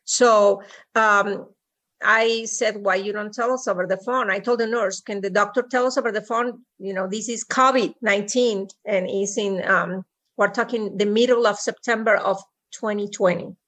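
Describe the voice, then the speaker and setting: A middle-aged woman from Mexico with a Mexican Spanish accent, a podcast guest telling her story over a remote call, with frequent pauses and restarts. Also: medium pitch, fairly even intonation, fairly bright tone. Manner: halting, many ums and restarts. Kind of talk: personal story in an interview.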